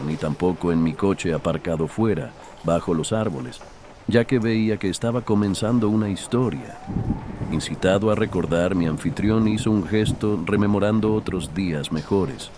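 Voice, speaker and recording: A man narrating a literary horror story in a measured, solemn way. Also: low-pitched, mellow, a little hiss or hum. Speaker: audiobook narrator.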